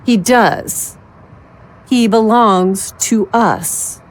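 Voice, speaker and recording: A woman's voice: medium pitch, extremely slow. An actress playing a bossy, impulsive, childish wife in her thirties in an audio drama, with a demanding, emphatic delivery. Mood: insistent.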